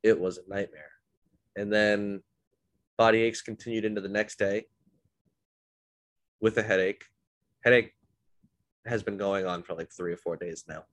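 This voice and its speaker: A man's voice: on the low side, steady tone, moderate pace, long pauses, no room sound. A man hosting a podcast, a bit congested from illness, telling rambling self-deprecating stories in a casual chat. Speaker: podcast host.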